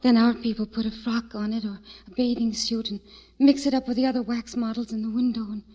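A woman playing a timid, gentle, frightened young servant girl in a radio drama, speaking hesitantly and pleadingly. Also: on the high side, level tone, fairly quick, lightly acted. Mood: hushed dread. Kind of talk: 1940s radio drama acting. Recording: dull old radio recording.

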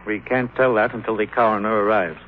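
An actor playing a nervous, evasive doctor in an old radio drama, giving defensive, quick denials. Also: male voice, lowish voice, steady tone, a little hiss or hum, close to an everyday voice. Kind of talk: 1930s radio drama acting. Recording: tinny old radio broadcast.

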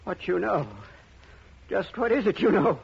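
A man's voice: sits high, expressive, a little slow, gravelly, slightly rough, full character voice. A man playing an agitated, suspicious businessman in a 1950s radio drama. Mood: accusing, alarmed. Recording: muffled, noisy old radio recording.

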